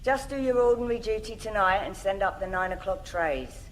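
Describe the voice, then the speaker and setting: A scheming Georgian pie-shop mistress acted by a woman, businesslike and medium in pitch. She sounds off-mic, with faint noise behind her, in period audio drama style. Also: lively intonation, brisk, lightly acted. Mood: smooth, scheming. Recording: distant, faint background noise.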